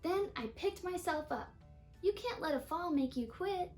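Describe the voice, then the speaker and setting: Woman as a high-voiced storybook big brother. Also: animated, a little slow, darkish tone, soft background music. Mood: advising. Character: outspoken older brother in a children's storybook.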